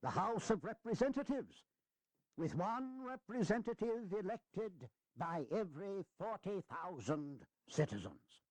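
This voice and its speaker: An actor playing an elderly, wry and earnest American statesman giving a deliberate, emphatic speech in a historical audio drama. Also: high voice, very animated, dry recording, exaggerated voice. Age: older.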